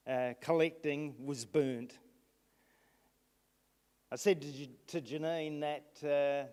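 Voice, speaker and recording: Halting man in an echoing council chamber. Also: a little high, a little mellow. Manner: halting, recounting events with restarts. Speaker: resident speaking at council meeting. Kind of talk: public address to council. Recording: long reverb in a hall.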